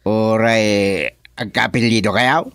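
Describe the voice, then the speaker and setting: A man's voice: fairly low pitch, steady tone, creaky, a bit bright, no echo, clean studio sound, exaggerated voice. A man acting a mediating, questioning village chairman in a radio comedy drama, with an Ilocano accent. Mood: puzzled, questioning. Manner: deliberate, questioning.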